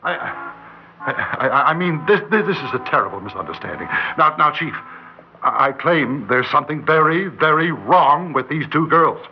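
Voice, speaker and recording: A man's voice: fairly high pitch, expressive, moderate pace, slight background noise. A man acting an agitated small-town schoolteacher, urgent and desperate to be believed, in old-time radio drama style. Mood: agitated pleading. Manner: urgent, stammering when flustered. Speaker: narrator and lead character. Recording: muffled old radio recording, music behind.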